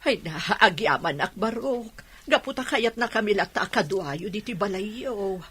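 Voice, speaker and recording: A woman's voice: medium pitch, expressive, a little slow, fairly bright tone, breathy edge. An actress playing a caring, fretful older household helper in a radio drama, with a motherly manner. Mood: grateful. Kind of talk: radio drama acting in Ilocano. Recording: music under the studio voice.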